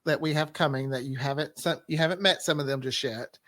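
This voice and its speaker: A man's voice: medium pitch, a little fast, a bit bright, no room sound, studio quality. A man chatting casually as a writer on a podcast panel.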